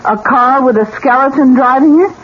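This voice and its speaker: An actress playing a concerned, earnest wife who questions insistently in a 1970s radio drama. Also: female voice, sits high, moderate pace, close to an everyday voice. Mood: anxious, pressing. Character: concerned wife, polite but persistently questioning. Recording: dull, noisy old radio broadcast.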